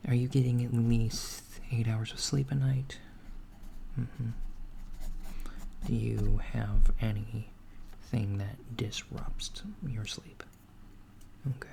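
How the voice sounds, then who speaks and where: A man voicing a calm alien doctor in a soft-spoken, half-whispered ASMR roleplay, gently asking a human patient clinical questions. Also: medium pitch, takes it slowly, gravelly, slight background noise. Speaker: ASMR creator.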